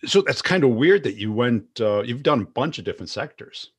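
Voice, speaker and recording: A man's voice: mid-range voice, expressive, brisk, slightly rough. A man hosting a podcast, chatting casually with a guest and responding to their points. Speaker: podcast host. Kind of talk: casual interview chat.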